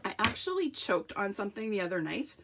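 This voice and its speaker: Woman, middle-aged, croaky throat; chatty, self-deprecating crafter hosting a live stream, works as she talks. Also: mid-range voice, very animated, brisk, noisy background. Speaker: card-making demonstrator. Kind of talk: live craft tutorial with viewer shout-outs.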